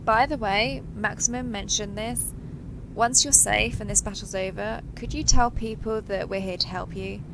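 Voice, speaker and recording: A woman's voice: mid-range voice, steady tone, normal speed, creaky, noisy background, natural voice. A woman playing a friendly, chatty giant transforming robot soldier in an audio roleplay, joking and reassuring as she talks to the listener. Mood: earnest, chatty.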